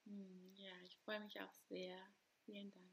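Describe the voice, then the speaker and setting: A woman in her thirties from Hamburg, talking casually and hesitantly as a guest, with many pauses and light laughs. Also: mid-range voice, little pitch movement, gravelly, a little hiss or hum. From Hamburg, Germany. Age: 30s. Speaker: guest, doula. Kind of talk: casual interview talk.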